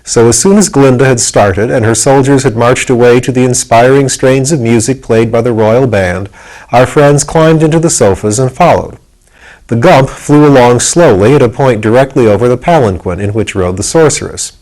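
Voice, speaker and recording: A man narrates a children's storybook from a script. His voice sits in a middle pitch range as he reads the tale aloud. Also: moderate pace, darkish tone, low-quality recording. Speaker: narrator, audiobook reader.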